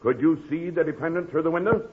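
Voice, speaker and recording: An actor playing an exasperated courtroom prosecutor, questioning formally and forcefully in 1950s radio comedy. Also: male voice, on the high side, fast, close to an everyday voice. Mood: insistent. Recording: noisy, narrow old radio recording.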